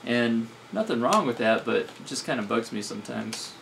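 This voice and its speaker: A man's voice: mid-range voice, animated, moderate pace, creaky, fairly bright tone, slight background noise. A man in his thirties giving a casual hands-on tech demonstration as a video host. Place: home lab room, indoors.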